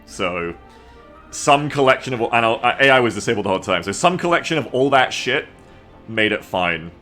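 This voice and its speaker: A man chatting casually to viewers as a game streamer, rambling, excitable and swearing freely. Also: mid-range voice, moderate pace. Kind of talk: casual livestream chat to viewers. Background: music.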